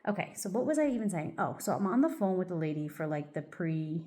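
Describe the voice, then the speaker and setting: Rambling woman with a lowish voice. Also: lively intonation, quick pace, dark tone. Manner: rambling, loose, full of "like" and "um". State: a little congested, stuffy. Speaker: vlogger. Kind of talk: casual get-ready-with-me chat.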